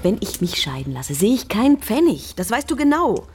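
A woman's voice: mid-range voice, sing-song, normal speed, darkish tone, a little hiss or hum, natural voice. A woman playing a scheming, discontented wife in a German radio crime drama, coolly calculating and persuasive. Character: scheming, discontented wife plotting with her lover.

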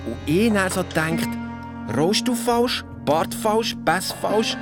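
A performer playing an excited boy detective with a Swiss German accent, telling a story colloquially and dramatically in a kids' radio play. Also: medium pitch, slow, over music. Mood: eager, conspiratorial. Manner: breathless storytelling, colloquial, dramatic sound imitations. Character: excited boy detective recounting his sneaky adventure.

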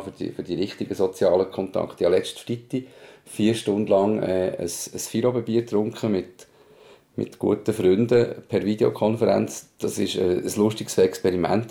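A man with a Swiss German accent speaks in a deep voice with a mellow, dark tone. There is plenty of gravel in it. He talks frankly and colloquially, as in a casual personal conversation. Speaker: podcast host, parent.

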